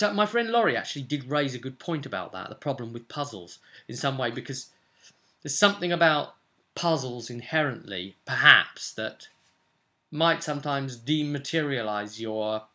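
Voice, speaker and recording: A young British man chatting casually and emphatically to camera as a game master, rambling in an animated way. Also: mid-range voice. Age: young adult. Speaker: tabletop role-playing game master and vlogger. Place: small furnished room at home, indoors. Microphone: camera or webcam at desk distance.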